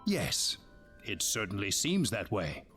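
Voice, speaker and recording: An actor playing a serious, knowledgeable researcher who explains things gently and carefully in a video game. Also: male voice, fairly low pitch, huge pitch swings, faint music behind, full character voice. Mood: sombre. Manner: measured, expository. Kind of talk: video game dialogue acting.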